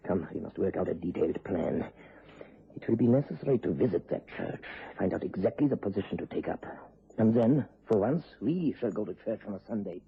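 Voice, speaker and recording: A man's voice: on the low side, gravelly, husky, exaggerated voice. A man acting a calculating, methodical, sinister hired gunman in a vintage radio drama. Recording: dull, faintly noisy old radio recording.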